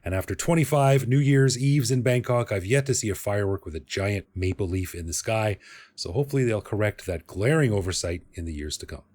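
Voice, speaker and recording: Upbeat podcast host, low voice. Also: male voice, fairly quick, a little mellow. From Canada. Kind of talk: scripted intro and casual interview chat.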